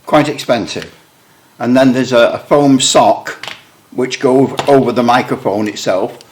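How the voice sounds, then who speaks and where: A man's voice: mid-range voice, normal speed, a little hiss or hum. Wry British man showing his radio gear. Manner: rambling, wry, self-deprecating. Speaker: radio hobbyist video maker. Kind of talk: casual show-and-tell explanation.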